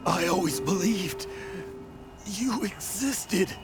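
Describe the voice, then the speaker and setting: An actor playing a guilt-ridden, humble old male adventurer in a video game, with a gravelly voice. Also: high-pitched, animated, takes it slowly, over music. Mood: awed, stunned. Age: older. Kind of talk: video game drama acting.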